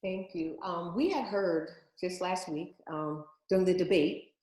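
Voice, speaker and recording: A woman's voice: fairly low pitch, measured pace, a little mellow. A woman hosting a casual interview, phrasing her questions hesitantly and tactfully. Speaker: interview host. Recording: roomy, echoing sound.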